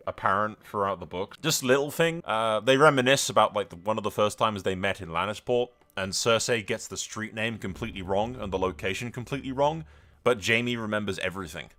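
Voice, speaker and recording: A man's voice: lowish voice, bright, forceful tone. A man giving casual, informal commentary on a roleplay game, thinking aloud and slipping into storytelling. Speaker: gaming video host. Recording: music behind the voice.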